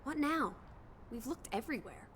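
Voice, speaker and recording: A woman's voice: high-pitched, lively intonation, moderate pace, a bit bright, slight background noise. An actress playing a playful, teasing young American woman from the 1920s in a video game, full of jaunty old-fashioned slang. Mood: curious.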